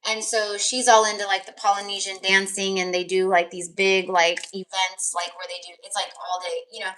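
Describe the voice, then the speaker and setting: A woman's voice: mid-range voice, level tone, moderate pace, pushed and bright. A woman tarot reader chatting casually on a live stream, rambling with lots of fillers, her voice tired and crackly. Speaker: tarot reader and energy healer.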